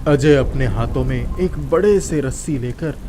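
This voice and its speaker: A man tells a scripted children's story as its narrator. His voice sits at a medium pitch, and music plays clearly behind him. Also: a little slow.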